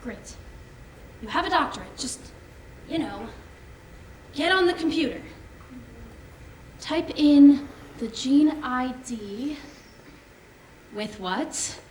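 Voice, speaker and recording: A woman's voice: sits high, lively intonation, slow, pauses often, a little mellow, distant mic, slight background noise. A woman on stage playing a wary, skeptical geneticist, speaking in a guarded, hesitant way. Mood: flustered. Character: wary, skeptical pioneering geneticist woken from cryo-sleep. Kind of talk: staged musical reading, stage acting. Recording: echoey theatre stage.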